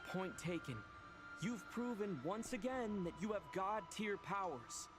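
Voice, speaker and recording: A man's voice: high voice, animated, a little slow. A man playing a defiant, earnest young hero in scripted video game dialogue. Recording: game music behind the voice.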